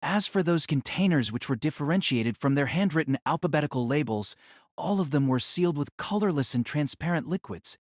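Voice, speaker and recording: A man's voice: fairly high pitch, animated, brisk, no room sound, studio quality. A man narrating a novel as a scripted audio drama.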